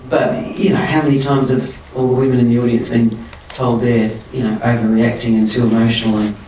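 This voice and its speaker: A man speaking as an interview guest, impassioned and emphatic, his pitch jumping up when he gets animated. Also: a little fast. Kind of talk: conversational interview. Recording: roomy and distant with faint noise.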